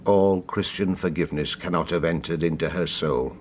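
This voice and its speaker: An actor plays a compassionate older male confessor in a radio drama. His low voice is measured and solemn, with formal phrasing. Faint ambience sits behind him. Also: steady tone, darkish tone, a little hiss or hum, close to an everyday voice. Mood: solemn, sorrowful.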